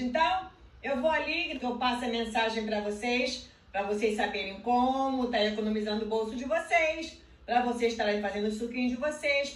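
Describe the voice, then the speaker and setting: A woman's voice: sits high, fairly bright tone. A woman with a Brazilian Portuguese accent hosting a juice vlog from her home kitchen, talking to her followers in an affectionate, chatty way. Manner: affectionate, chatty, addresses viewers directly. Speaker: juice channel host. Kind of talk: casual cooking vlog to followers. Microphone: camera mic at a little distance. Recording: roomy kitchen, mic a bit far.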